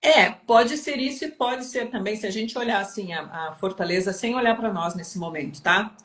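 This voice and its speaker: Uma mulher com sotaque do sul do Brasil, palestrante convidada, explicando um tema com jeito didático e pausado numa conversa ao vivo. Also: female voice, medium pitch, fairly bright tone. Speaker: guest speaker. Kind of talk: explanatory conversation. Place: home kitchen livestream. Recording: roomy echo.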